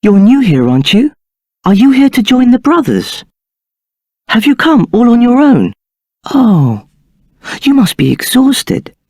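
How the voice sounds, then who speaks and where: An actor playing a kind, earnest young male novice monk in a video game, speaking gently and reassuringly. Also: extremely high pitch, very animated, a little slow, pauses often, no room sound, studio quality, heavily acted. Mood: kind, gentle.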